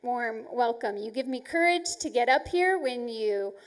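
A middle-aged woman pastor with a soft-spoken voice, chatty and self-deprecating, preaching to young adults on a large church stage into a handheld mic. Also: fairly high pitch, animated, unhurried.

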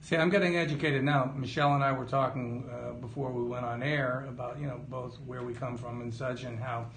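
A man's voice: mid-range voice, flat delivery, brisk, fairly bright tone. A man hosting a boxing podcast, asking rambling, joking questions in a casual interview. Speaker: podcast host, boxing journalist. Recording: roomy studio with faint hum.

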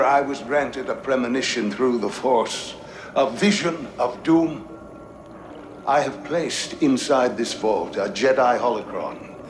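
An actor playing a wise, solemn old Jedi master in a game's recorded message, speaking in a stately, deliberate way. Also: male voice, mid-range voice, noisy background, close to an everyday voice. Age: older. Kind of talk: game cutscene acting, solemn monologue. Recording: echoing chamber, clear background ambience.

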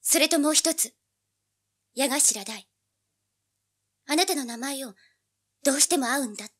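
High-pitched, brazen teenage girl. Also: normal speed, a bit bright. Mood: pert, questioning. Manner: pert, blunt, streetwise. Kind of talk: dramatized audiobook acting.

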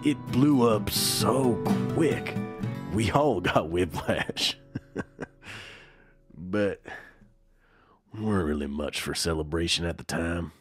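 A weary old-bandmate type, reflecting plainly with grief in an audio drama. His voice is very low and slow, with music playing clearly behind him. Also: heavily acted. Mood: wistful, somber. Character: weary, grieving male musician looking back. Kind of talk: documentary testimony acting.

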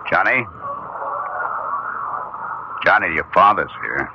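A man's voice: low voice, lively intonation, takes it slowly, exaggerated voice. An actor playing a firm, world-weary police officer who narrates his case in an old-time radio drama, plain-spoken and slangy. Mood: urging. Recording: muffled, noisy old radio recording.

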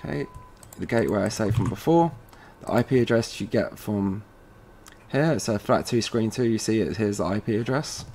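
A man presenting an informal software tutorial, casually thinking aloud as he goes step by step. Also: lowish voice, animated, moderate pace. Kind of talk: informal screen-recorded software walkthrough. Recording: noisy room with keyboard typing.

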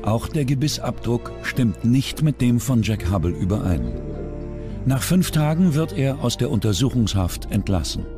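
A man's voice: medium pitch, expressive, moderate pace, music in the background. A man reading scripted true-crime documentary narration.

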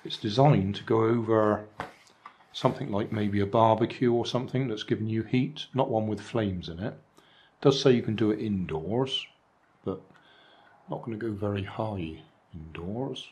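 An older man talks through his latest charity shop finds in a dry, musing way, with plenty of asides. His voice sits on the low side, and a faint noise runs under the recording. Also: normal speed, a little mellow. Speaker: vlog host reviewing charity shop finds. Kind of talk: casual show-and-tell narration. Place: indoors at a table, hands on camera.

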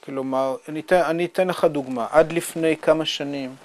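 A man, explaining things in a measured interview manner. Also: a little high, steady tone, darkish tone. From Israel. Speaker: scientist, interviewee. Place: small indoor room with bookshelves.

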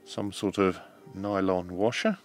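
A man presenting a hands-on electronics tutorial, explaining step by step in a measured way over background music. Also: deep voice. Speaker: host of an electronics teardown channel.

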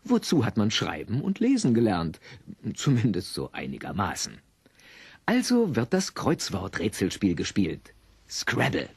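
Male narrator for a kids' radio play, very animated and wry, slightly husky, dry sound. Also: on the low side, a little slow, dry recording. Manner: theatrical storytelling, wry. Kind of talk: scripted audio drama narration for children.

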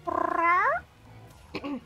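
A woman's voice: very high voice, very animated, very slow, over loud music. A young woman from Sweden with a Swedish accent mixed with British touches, chatting casually while streaming a game and often laughing. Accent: Swedish with British, Scottish and Irish touches. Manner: giggly, often breaks into laughter. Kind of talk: casual live-stream chat while gaming, reading chat aloud.

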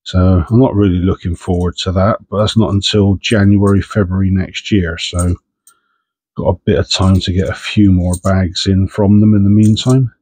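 A man's voice: low-pitched, steady tone, moderate pace, darkish tone, husky, dry recording. A man with a head cold, sniffing as he chats casually while sorting through coins for his channel. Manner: chatty, understated, self-deprecating. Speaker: coin-hunting channel host.

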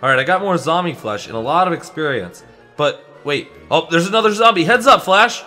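A man plays an eager young superhero in a game roleplay. His voice is bright and a little high, with music underneath. He chats excitably, like a friendly buddy on an adventure. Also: brisk. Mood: excited. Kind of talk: improvised Minecraft roleplay, casual chatter.

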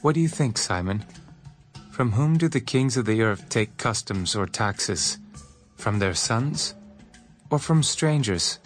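Jesus, low solemn teacher. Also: male voice, animated. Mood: teaching. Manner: measured, solemn, declarative. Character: Jesus, grown man, solemn authoritative teacher. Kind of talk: dramatized scripture reading. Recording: music behind the voice.

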